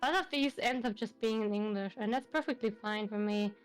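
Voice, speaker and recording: A woman's voice: medium pitch, brisk, smooth, faint music behind. A woman from Belarus with a German accent, Russian her first language, chatting casually as a VTuber guest with lots of fillers and restarts. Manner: rambling, many fillers and restarts. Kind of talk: casual chat on a livestream.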